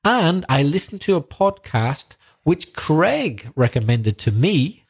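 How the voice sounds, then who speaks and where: Male English-teacher co-host, relaxed and conversational. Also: fairly high pitch, slow pace, husky. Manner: relaxed, reads listener emails aloud. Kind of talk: casual podcast chat.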